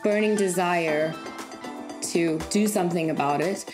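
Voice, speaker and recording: A woman's voice: on the low side, a little slow, over music. A woman hosting an interview, asking curious, probing questions. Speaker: interview host.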